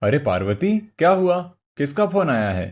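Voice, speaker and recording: A man's voice: on the high side, very animated, moderate pace. A man voicing a dutiful, affectionate younger brother in a cartoon story, earnest and placating. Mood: concerned. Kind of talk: Hindi cartoon moral story acting.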